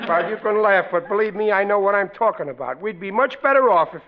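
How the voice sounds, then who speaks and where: A man's voice: sits high, lively intonation, fast talker, a faint crowd. An actor playing a blundering, opinionated middle-aged working-class father in a 1940s radio sitcom, blustering and stumbling over his ungrammatical sentences. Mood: eager. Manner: blustering, ungrammatical, stammering restarts. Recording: dull, lo-fi vintage radio recording.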